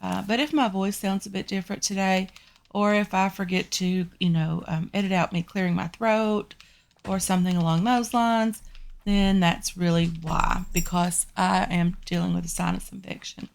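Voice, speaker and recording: A woman's voice: mid-range voice, fairly even intonation, normal speed, creaky. A woman with a Southern US accent hosting a gaming video, congested from a sinus infection, chatting casually to her viewers with frequent ums. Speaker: gaming channel host. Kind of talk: casual game commentary.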